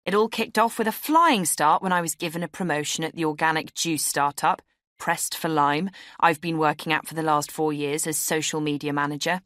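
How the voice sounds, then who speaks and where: A woman's voice: sits low, steady tone, moderate pace, dry recording, clean studio sound. Woman putting on a brittle, falsely cheery British young woman. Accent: British English. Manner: arch, over-bright, sarcastic emphasis. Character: brittle, falsely cheery young female Christmas-newsletter writer. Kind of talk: satirical audiobook monologue as a round-robin email.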